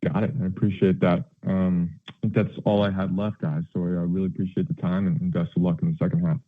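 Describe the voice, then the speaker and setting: A man asking questions as a financial analyst on an earnings call, polite and conversational with halting phrasing. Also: deep voice, little pitch movement. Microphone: telephone. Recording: narrow, tinny phone line.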